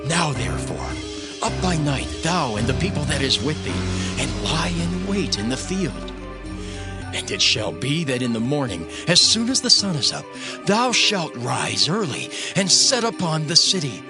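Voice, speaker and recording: Animated, urgent conspirator. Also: male voice, on the high side, measured pace, loud music behind the voice. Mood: urgent, scheming. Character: urgent conspirator counseling a night ambush. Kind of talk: dramatized audio Bible acting.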